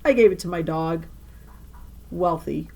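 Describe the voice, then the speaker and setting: A woman's voice: fairly low pitch, unhurried, creaky, darkish tone. A woman voicing a smug, dismissive fifth-grade boy in a children's novel.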